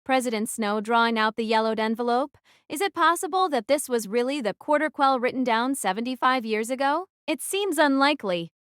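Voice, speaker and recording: A woman's voice: fairly high pitch, a little fast, a bit bright, smooth, no room sound, clean studio sound, lightly acted. A woman acting in an audio drama as a troubled, defiant young survivor who narrates her own thoughts in a dramatic, introspective way. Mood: stunned, brooding.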